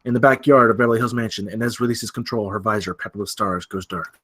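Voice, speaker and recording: A man's voice: on the low side, fairly even intonation, fast, a bit bright, husky. A man narrating scripted stage directions at a film table read.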